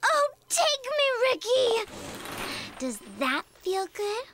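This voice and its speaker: A woman voicing a sultry, gushing nurse in an animated comedy. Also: high-pitched, normal speed, very noisy, close to an everyday voice. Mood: aroused.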